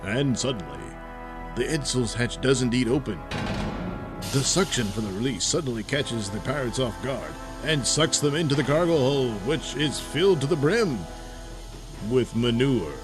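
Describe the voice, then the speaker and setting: A man's voice: mid-range voice, expressive, normal speed, music in the background. A man narrating a sci-fi comedy serial in a mock-dramatic scripted style.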